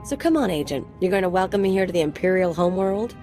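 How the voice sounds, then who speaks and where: A woman's voice: lowish voice, lively intonation, fast talker, mellow, natural voice. An actress playing a brash, flippant young female sidekick with a casually violent streak in a video game. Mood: cocky. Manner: flippant, swaggering. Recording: game music behind the voice.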